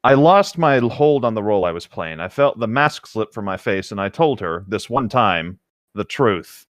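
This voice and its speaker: Wry man with lively pitch, dry sound. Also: moderate pace, no room sound. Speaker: co-host of a group read-along show. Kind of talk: casual chat mixed with reading a novel aloud.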